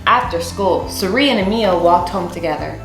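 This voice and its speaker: A young woman reading a children's picture book aloud as a storyteller, with deliberate, expressive phrasing in a small room. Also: on the low side, vocal fry. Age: young adult. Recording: roomy echo, faint music underneath.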